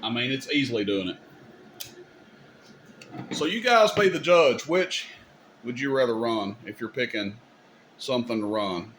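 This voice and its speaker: Casting: male demo host, slightly low voice, informal and opinionated, casual explainer delivery, audible background noise. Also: expressive, unhurried, long pauses. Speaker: host demonstrating radio gear. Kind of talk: casual hands-on demonstration. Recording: clear background noise, room ambience.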